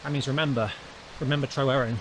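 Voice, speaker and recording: A man's voice: mid-range voice, steady tone, quick pace, background noise. Man chatting on an outdoor hike. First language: not a Welsh speaker. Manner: chatty, thinking aloud with small restarts. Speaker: hiking vlogger. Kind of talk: walking vlog narration. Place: outdoors on a hillside in the countryside.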